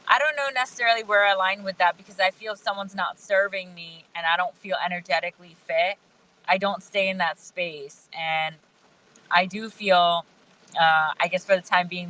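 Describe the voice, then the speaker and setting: A woman's voice: fairly low pitch, sharp tone, poor audio. A woman whose first language is Hindi talks candidly and thoughtfully as a guest, laughing often, from outdoors in the rain. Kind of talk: casual video-call conversation.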